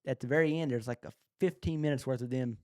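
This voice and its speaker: A man's voice: mid-range voice, fast, warm tone, no room sound. Casual guy podcasting, dry close sound. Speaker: podcast co-host. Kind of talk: casual chat about books and shows.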